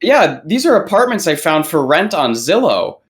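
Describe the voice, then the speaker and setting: A man's voice: on the high side, very animated, normal speed. A young adult man chatting casually as a podcast panelist, circling back over his phrases and swearing freely. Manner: hesitant, repetitive phrasing, sweary. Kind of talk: casual chat about movies.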